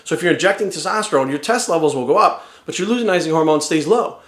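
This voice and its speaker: A man in his forties giving an energetic, rambling testimonial to camera. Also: fairly high pitch, fast, reverberant. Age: 40s.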